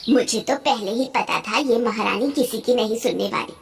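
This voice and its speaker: A woman's voice: a little high, normal speed, vocal fry, breathy edge, full character voice. A woman voicing a nagging, disapproving mother-in-law in a cartoon story, scolding and complaining.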